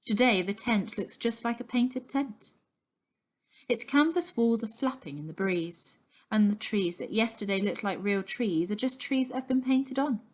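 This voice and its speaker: Slow-paced woman reading a children's story. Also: a little high. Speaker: story reader. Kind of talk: scripted narration of a children's novel.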